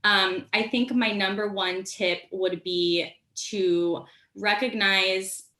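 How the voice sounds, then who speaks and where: Bright woman panelist, echoey room. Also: medium pitch, fairly even intonation, takes it slowly. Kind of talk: panel discussion. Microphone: video call.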